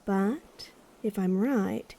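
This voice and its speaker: A woman's voice: mid-range voice, extremely slow, vocal fry, dark tone, heavily acted. A woman playing a female character in a scripted audio drama. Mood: guarded. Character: woman reporting on her hunt for an exiled prince.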